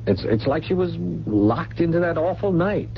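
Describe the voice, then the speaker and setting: An actor playing a weak, tormented rich son in a radio mystery drama, hesitant, stammering and pleading. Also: male voice, a little high, animated, normal speed, lightly acted. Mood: defensive. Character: weak, tormented rich son under his lover's thumb. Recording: dull, hissy 1970s radio recording.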